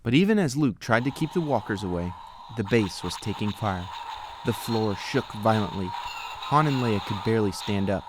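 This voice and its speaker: A man reading scripted storybook narration as the storyteller, with clear noise behind the voice. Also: lowish voice, animated, moderate pace. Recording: clear background noise, clicks.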